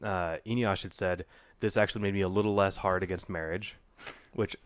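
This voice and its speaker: A young man with a slight cold and nasal voice, co-hosting a casual podcast chat, often halting and restarting his sentences. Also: sits low, fairly even intonation, a little hiss or hum. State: getting over a cold, a little nasal. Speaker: podcast co-host. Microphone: podcast microphone.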